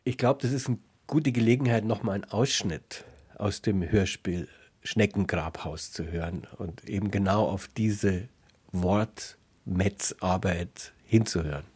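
Casting: male cultural radio host, lowish husky voice, explains and asks questions with care. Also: measured pace, a little mellow. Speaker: radio interviewer. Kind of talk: considered interview moderation.

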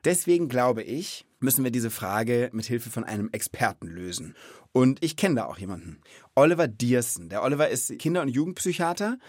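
A man's voice: mid-range voice, animated, brisk, a little mellow, no echo, clean studio sound. A man hosting a children's podcast in a casual, playful and informal chatting style. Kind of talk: casual, scripted-feeling podcast chat.

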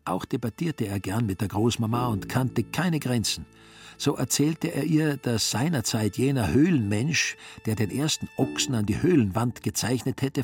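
A man reads literary, satirical narration for a radio drama. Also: mid-range voice. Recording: music behind the voice. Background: music.

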